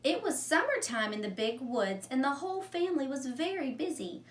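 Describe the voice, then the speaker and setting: A woman's voice: high-pitched, sing-song, moderate pace. Lady teacher doing storytime for little kids, asks them stuff, echoey room w a bit of noise. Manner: simple wording, addresses young listeners with questions. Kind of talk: read-aloud story time for young children. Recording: echoey room, distant mic, faint noise.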